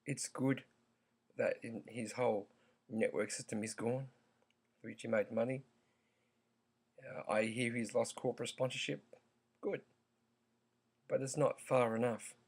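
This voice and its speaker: A croaky-voiced man talks straight to his viewers at an unhurried, deliberate pace. He pauses often and fills the gaps with ums. His tone stays earnest throughout. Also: medium pitch, fairly even intonation. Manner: slow, halting, earnest, with many ums. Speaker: vlogger. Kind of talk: opinion vlog.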